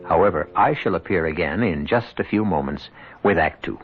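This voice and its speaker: Low-voiced, theatrical radio mystery host. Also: male voice, expressive. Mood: wry, suspenseful. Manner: theatrical, deliberate, teasing. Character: sly, theatrical storyteller host. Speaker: host, narrator. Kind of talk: 1970s radio drama scripted narration. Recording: dull 1970s radio recording with music.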